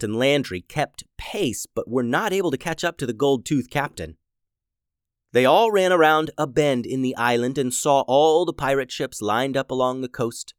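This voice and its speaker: A man's voice: mid-range voice, no echo, clean studio sound. Playful man with a scratchy voice. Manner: playful and silly. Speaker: podcast host and storyteller. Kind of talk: kids' story narration.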